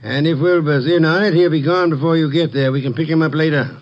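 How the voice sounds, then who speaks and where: An actor playing an imperious male detective genius in a 1950s radio drama, speaking in a measured, formal, commanding way. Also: fairly high pitch, steady tone, lightly acted. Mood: calm, formal. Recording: muffled 1950s radio broadcast.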